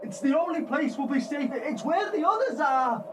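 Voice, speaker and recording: A man acts out a fearful hotel insider in a radio drama, grave and insistent. His pitch moves restlessly, and he sounds away from the microphone amid clear background noise. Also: lively intonation, moderate pace. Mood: insistent warning. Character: fearful, urgent hotel insider warning of hidden danger. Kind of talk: radio play acting. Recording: distant mic, noisy outdoor ambience.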